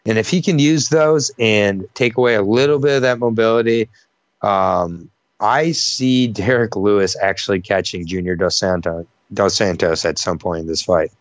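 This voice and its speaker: Fight-pick co-host, low male voice, dull dry lo-fi recording, rambling casual delivery. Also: normal speed, dry recording. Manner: rambling, many fillers and restarts. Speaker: co-host of a fight prediction show. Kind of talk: casual betting breakdown chat.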